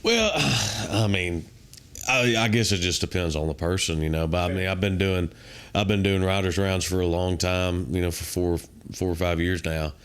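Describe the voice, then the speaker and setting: Young man, very low and smooth voice, easygoing drawl, casual guest chat. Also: moderate pace, a bit bright. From Kentucky. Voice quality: naturally deep voice. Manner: laid-back, drawling, many fillers like "man" and "uh". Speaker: country singer, guest.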